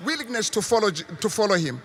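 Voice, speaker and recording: A man with a South African accent preaches formally and deliberately, in phrases left for an interpreter. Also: high-pitched, sing-song, a crowd in the background. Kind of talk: sermon delivered in phrases for an interpreter.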